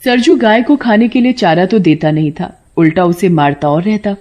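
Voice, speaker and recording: A woman's voice: medium pitch, moderate pace, muffled recording. A woman storyteller reading scripted narration for a cartoon folk tale.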